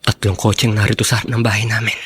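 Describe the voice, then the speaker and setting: A man's voice: fairly low pitch, level tone, very fast, a bit bright, slightly rough. An actor playing a hesitant, insecure youngest brother in a radio drama, anxiously questioning his welcome. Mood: doubtful.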